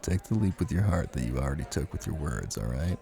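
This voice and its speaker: A man acting out a menacing, possessive mafia husband in an intimate audio roleplay. His voice is very low, with heavy gravelly fry, and it moves expressively between coaxing and command, with deliberate pauses. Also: sing-song, a crowd in the background, exaggerated voice. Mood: coaxing, concerned. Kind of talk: intimate scripted ASMR roleplay.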